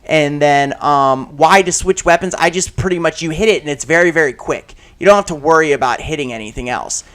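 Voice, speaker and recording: A man with a slightly high, raspy voice talks through a shooter game session in a loose, rambling way. He piles on fillers and restarts, and breaks off into loud shouts at the action. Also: a little fast. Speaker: gaming channel host. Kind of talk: casual commentary while playing a shooter game.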